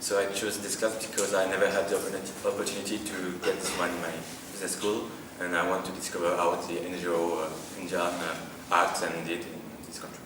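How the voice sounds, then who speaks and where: A man's voice: low-pitched, little pitch movement, fast, hardly any pauses. A man from France, a business school student, introducing himself in plain, simple phrases. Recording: echoey room, far mic, noise.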